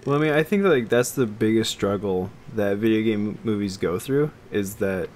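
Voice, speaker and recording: A man co-hosting a podcast in casual conversation, with a somewhat halting, hedging delivery. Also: on the low side, animated, darkish tone. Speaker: podcast co-host. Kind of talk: casual chat. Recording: noticeable background noise.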